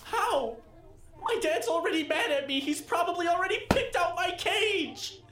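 A woman's voice: very high voice, normal speed, fairly bright tone, distant mic. A woman acting in an audio drama as a timid, anxious twelve-year-old boy. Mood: hesitant. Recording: roomy, off-mic student audio drama.

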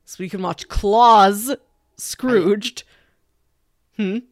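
A woman's voice: medium pitch, extremely slow, no echo. Enthusiastic woman chatting, close dry sound. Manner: enthusiastic, emphatic, uses casual asides. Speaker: podcast co-host. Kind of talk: casual chatty movie pitch.

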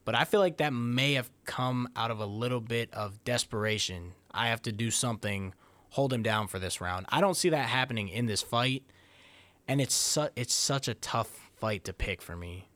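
A man's voice: medium pitch, normal speed, a bit bright, no room sound. A man co-hosting a sports podcast, thinking aloud through a fight breakdown in a hesitant, restarting way. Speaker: podcast co-host, fight analyst.

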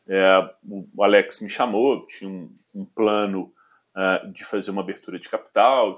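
Low-voiced man hesitating through an interview. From southern Brazil. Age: 40s. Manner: measured, frequent hesitations. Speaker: finance executive interviewee. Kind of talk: business interview.

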